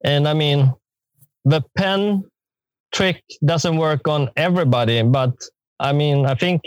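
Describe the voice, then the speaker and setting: Slow-spoken halting male guest. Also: on the high side, no room sound, low-quality recording. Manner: slow, halting, with many pauses. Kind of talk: podcast interview.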